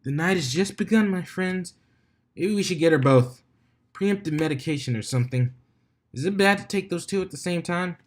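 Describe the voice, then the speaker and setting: A man puts on a party-loving pony mare announcing an event in a story. Her pitch is lifted, and she is flippant and easygoing as she hosts. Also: lively intonation, moderate pace. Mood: playful announcing.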